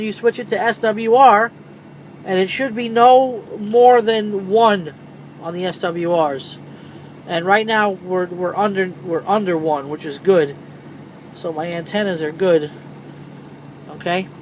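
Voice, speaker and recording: A man giving an informal, off-the-cuff how-to in a rambling, mildly salty way, like a trucker showing his gear. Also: sits high. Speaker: truck driver presenting a how-to video. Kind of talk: casual off-the-cuff tutorial. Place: inside a parked semi truck cab. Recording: clearly noisy vehicle ambience.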